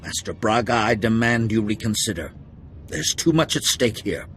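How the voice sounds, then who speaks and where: Casting: skeptical Jedi elder statesman, game cutscene. Low, stern, formal disapproval. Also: lively intonation, normal speed, fairly bright tone, faint music behind. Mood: insistent. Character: stern, skeptical male Jedi council master. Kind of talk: cinematic video game acting.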